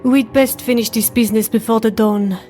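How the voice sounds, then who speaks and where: Lady playing a teasing fantasy countess, poised and sardonic, higher voice, music behind. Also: steady tone, brisk, warm tone, close to an everyday voice. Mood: sly, teasing. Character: cool, flirtatious sharpshooting countess, aristocratic and sly.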